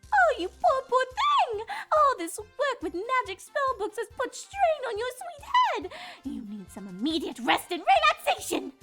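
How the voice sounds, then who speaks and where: A woman voicing young female cartoon ponies in a theatrical, expressive fan-fiction reading, by turns exasperated and fussy. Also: extremely high pitch, measured pace, hardly any pauses, faint music behind, exaggerated voice. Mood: fussy, alarmed.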